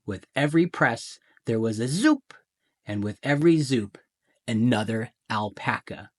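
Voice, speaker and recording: A man reading a children's picture book aloud in a theatrical way, with deliberate pauses and emphasis. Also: mid-range voice, lively intonation. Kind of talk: dramatic children's storybook narration.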